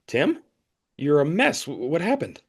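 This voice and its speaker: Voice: medium pitch, huge pitch swings, measured pace, husky, heavily acted. An actor in an audio play voicing an anxious, exasperated hotel guest who sputters and hesitates wryly. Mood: rattled.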